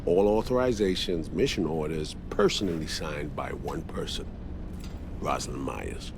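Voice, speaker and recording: An actor playing a weary, loyal veteran spy in a video game, speaking in a deliberate, blunt, measured way. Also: male voice, rumbling low, noisy background. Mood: measured, serious. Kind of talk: video game cutscene acting.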